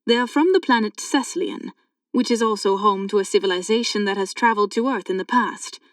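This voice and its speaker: An actress playing a knowing woman in a sci-fi audiobook drama, speaking in a clear, measured, explanatory way. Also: medium pitch, no echo, clean studio sound, far from the mic, close to an everyday voice. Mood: calm explaining. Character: two sci-fi women, a knowing guide and a curious thief. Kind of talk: full-cast audiobook dialogue.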